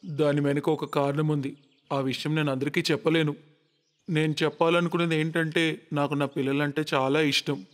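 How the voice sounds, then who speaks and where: An actor voicing stern, dramatic men in a cartoon moral tale, theatrical and emphatic. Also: male voice, fairly high pitch, little pitch movement, normal speed, lightly acted. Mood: stern, demanding. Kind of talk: dramatic cartoon story dialogue. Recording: long reverberant echo.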